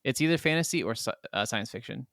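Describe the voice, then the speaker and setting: A man's voice: medium pitch, expressive, fast, a bit bright, no echo, clean studio sound. A man co-hosting a podcast, chatting casually about video games in a rambling, enthusiastic way. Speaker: podcast co-host.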